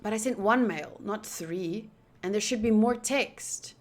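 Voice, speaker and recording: A woman streaming a visual novel, reading it aloud deliberately with pauses and chatty asides. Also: mid-range voice, darkish tone. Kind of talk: playthrough narration with chatty asides.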